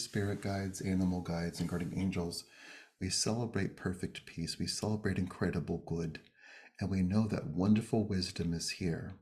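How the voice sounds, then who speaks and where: A man leading a guided meditation and prayer, speaking gently and unhurriedly in short phrases with pauses between them. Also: deep voice.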